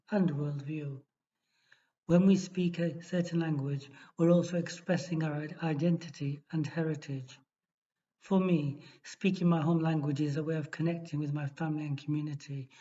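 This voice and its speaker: Male exam tutor, measured read-aloud delivery, warm mellow tone, dull recording. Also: a little high. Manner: steady, measured reading with small restarts. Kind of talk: reading a model essay aloud.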